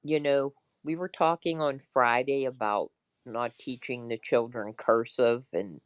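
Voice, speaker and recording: A woman hosting a casual political discussion, speaking in a halting, deliberate way. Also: rumbling low, fairly even intonation, clean tone, dry recording. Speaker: talk show host.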